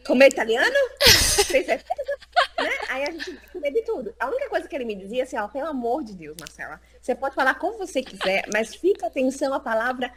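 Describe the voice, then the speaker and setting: A woman's voice: sits high, fast, slight background noise. A Brazilian woman working as a career consultant and psychologist, talking casually in a live video call interview with lots of fillers. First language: Portuguese. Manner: chatty, lively, lots of fillers like "então, assim".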